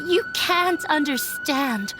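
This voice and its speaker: An earnest young daughter, high-voiced, facing down her stern father in a game scene. Her voice is soft, steady and resolute. Faint music plays behind her. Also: takes it slowly. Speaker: daughter in a debate. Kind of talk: game voice acting.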